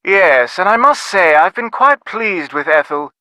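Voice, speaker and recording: Game role: snobbish British upper-class dad, middle-aged, sardonic, highish sharp voice, very close dry sound. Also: male voice, big pitch swings, unhurried, dry recording, exaggerated voice. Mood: dry disdain. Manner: pompous, wordy, dryly sardonic. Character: snobbish, dismissive middle-aged English father of aristocratic family. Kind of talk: scripted video game dialogue.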